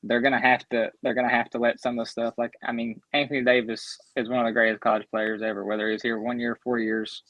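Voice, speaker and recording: Young Southern Kentucky man, easygoing guest, mid-pitched, dull lo-fi audio. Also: flat delivery, quick pace, few pauses, a bit bright, no room sound. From Central Kentucky. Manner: easygoing, stammers on restarts. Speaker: guest writer. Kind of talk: casual chat. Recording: dull lo-fi sound.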